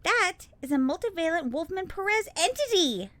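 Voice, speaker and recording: Woman as young female sidekick, very high bright voice, excitable, incredulous, dramatic, audio drama. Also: moderate pace, dry recording, exaggerated voice. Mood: curious, suspicious.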